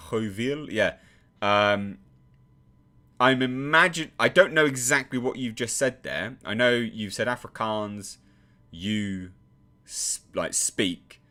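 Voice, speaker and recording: A man's voice: fairly low pitch, slow, sharp tone. A man from England, host of his own channel, chatting casually on a livestream and reading chat, self-deprecating and full of restarts.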